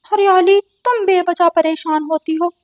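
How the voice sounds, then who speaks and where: A woman acts out a trusting male parrot for a children's cartoon. His voice is extremely high, and he speaks with naive, excitable emphasis. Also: measured pace, no echo. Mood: insistent. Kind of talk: Hindi children's cartoon dialogue.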